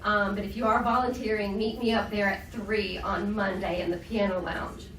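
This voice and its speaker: A woman giving community announcements, nasal from a cold and halting, with many ums. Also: medium pitch, fairly even intonation, a bit bright, a little hiss or hum. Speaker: resident life staff. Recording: echoey room from far off.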